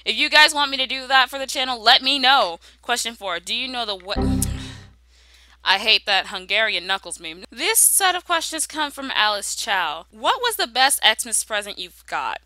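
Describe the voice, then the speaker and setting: A woman's voice: on the high side, animated, moderate pace, bright, forceful tone. A young woman doing a casual, humorous Q and A voice-over, reading questions and answering in a playful, sassy, conversational way. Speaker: YouTube animation creator answering viewer questions.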